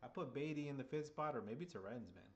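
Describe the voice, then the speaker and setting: Hyped man calling a game, hoarse. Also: medium pitch, lively intonation, fast. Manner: chatty, hyped, keeps rallying the chat. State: under the weather, voice getting hoarse. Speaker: fan livestream commentator. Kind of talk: casual play-by-play commentary to a live chat. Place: at home, seated at a table.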